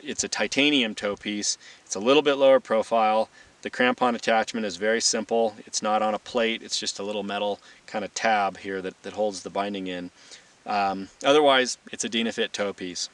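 Bright-voiced man, recorded at a distance. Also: fairly low pitch, steady tone, brisk. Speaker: instructor and presenter. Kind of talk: informal gear demonstration explained to camera. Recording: distant mic, light background noise.

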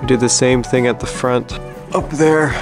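A man's voice: mid-range voice, steady tone, normal speed, music in the background. A man giving a casual, plain-spoken, practical walkthrough as the host of a DIY building vlog. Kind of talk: casual explanatory walkthrough of construction work.

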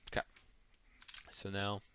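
A man's voice: slow pace, bright, forceful tone. A man explaining code as he types, thinking aloud with small slips. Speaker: coding tutor. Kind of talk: screen-recorded coding walkthrough. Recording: dull lo-fi mic with noise. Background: keyboard typing and clicks.

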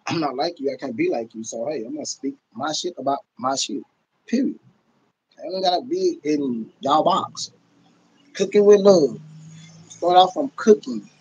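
A man's voice: a little high, moderate pace, slightly rough, lo-fi sound. A middle-aged American man on a casual livestream, talking bluntly and profanely with emphatic repetition, recorded on a phone at arm's length in a room at home. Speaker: livestream host. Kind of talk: casual livestream rant to viewers. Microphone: phone or webcam at arm's length.

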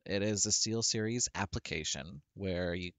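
A man with a deep voice, talking through a casual software tutorial on a headset microphone and often restarting his sentences. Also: normal speed, no room sound. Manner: rambling with frequent restarts and fillers. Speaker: tech tutorial creator.

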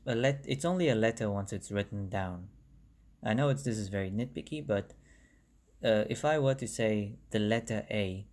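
A man's voice: mid-range voice, darkish tone. A young man with a South African accent, native Bangla speaker, talking through a language lesson in a chatty, self-correcting way, recorded on a phone. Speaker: language explainer. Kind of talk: casual narrated lesson over slides.

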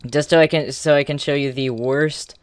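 A person giving casual gameplay commentary in a halting way with false starts, in a room at home. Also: mid-range voice, fairly even intonation, clean tone, dry recording. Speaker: streamer demonstrating a game.

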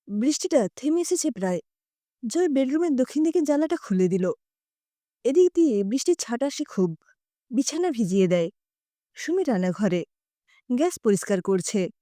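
A woman narrating a scripted audio story in an expressive storytelling style. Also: sits high, dry recording, clean studio sound.

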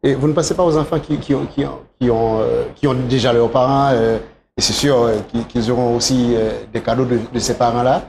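A man with a Haitian accent interviewing conversationally as a talk show host, halting as he searches for words. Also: mid-range voice, steady tone, creaky. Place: small talk show studio, behind a desk. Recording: noticeable background noise.

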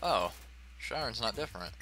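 A man's voice: mid-range voice, animated, moderate pace, sharp tone, faint music behind, low-quality recording. A man giving casual, sweary and self-deprecating commentary as he plays a video game. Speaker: let's player.

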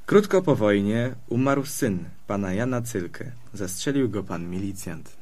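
Friendly fox for a children's radio play, male actor: lowish voice, polite, measured storyteller. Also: expressive, a little mellow. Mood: storytelling. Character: friendly, peaceable male fox recounting local history.

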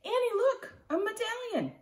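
A woman's voice: on the high side, big pitch swings, measured pace, darkish tone, far from the mic. A woman voicing an eager young boy in a storybook read-aloud, urgent and a bit bossy.

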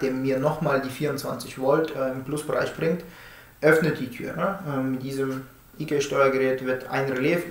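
A man's voice: medium pitch, a bit bright. A man in his thirties giving a casual technical explanation, often tacking questioning tags onto his statements. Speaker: presenter explaining smart-home door products. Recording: roomy indoor echo.

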